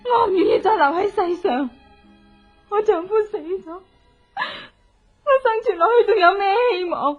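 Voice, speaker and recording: An actress playing a grieving, humiliated general's widow in a melodramatic, anguished radio drama style. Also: female voice, very high voice, normal speed, long pauses, faint music behind. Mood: desperate, distraught. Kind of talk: Cantonese radio drama acting. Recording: dull, lo-fi old radio drama.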